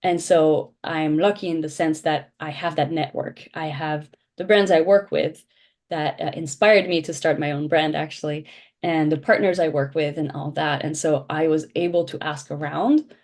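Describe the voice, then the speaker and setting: A woman whose first language is French speaks in a slightly low voice as a podcast guest. She talks in a chatty, animated way and often breaks into laughter. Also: moderate pace. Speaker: podcast guest, shoe brand founder. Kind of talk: casual interview chat.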